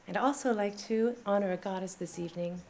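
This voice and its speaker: A woman with a soft-edged, mellow voice at a medium pitch speaks as an inspirational, spiritual presenter. Her delivery is reverent and dramatic, stretching words for emphasis as if reciting verse. Faint background noise sits behind her on the live stream. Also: fast talker. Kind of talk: spiritual address with recited poetry.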